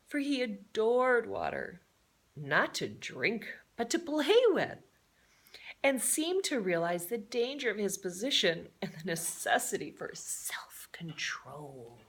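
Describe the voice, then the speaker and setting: A woman's voice: mid-range voice, very animated, slow, fairly bright tone, breathy edge. A woman reading a children's story aloud in a theatrical way, adding chatty, playful asides. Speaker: storybook reader.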